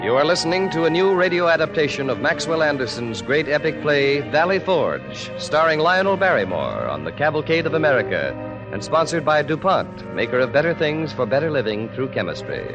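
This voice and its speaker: A man's voice: a little high, big pitch swings, brisk, highs cut off. A man reading a scripted announcement in a formal 1940s radio style. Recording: band-limited old radio, music behind.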